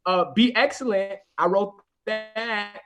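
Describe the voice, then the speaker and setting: A young man in his twenties from the US West Coast, a rapper guest telling animated stories with many asides in a casual podcast chat. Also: high voice, takes it slowly, soft background music.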